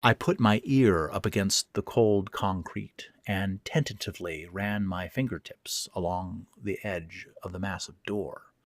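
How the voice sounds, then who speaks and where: A man's voice: sits low, measured pace, hardly any pauses, dry recording, studio quality. A man reading his own first-person horror fiction as narrator, in a dramatic, suspenseful way. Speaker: author and narrator.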